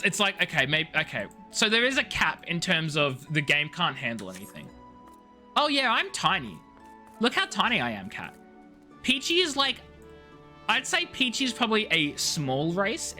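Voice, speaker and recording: A man's voice: a little high, pushed and bright. A man chatting casually to viewers as a video game streamer, playful and teasing, rambling from one thought to the next.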